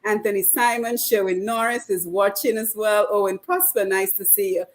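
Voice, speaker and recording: A woman's voice: mid-range voice, normal speed. A woman hosting a radio talk show, posing questions to her guests and reading out viewer comments. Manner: reads out viewer comments, hands the floor to guests.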